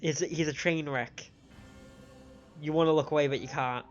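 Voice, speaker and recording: Male streamer, chatty explainer, higher-than-average pitch, slightly lo-fi sound. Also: steady tone, moderate pace. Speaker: game streamer. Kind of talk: casual live game commentary. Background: game music.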